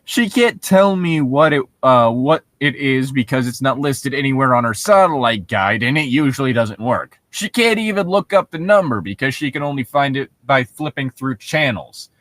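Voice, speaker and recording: A young man with a mid-pitched voice chats as a podcast co-host. He rambles in a loose, giggly, sweary way. The sound is dry, with no room to it. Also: animated, moderate pace, hardly any pauses, fairly bright tone, no room sound. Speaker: podcast co-host reading and riffing on horror stories. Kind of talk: casual joking chat with bits of reading aloud.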